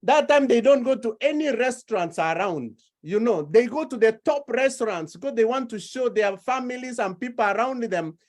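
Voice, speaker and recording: A woman preaching a sermon, slow and deliberate, building her points through repeated phrases. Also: on the high side, lively intonation, darkish tone.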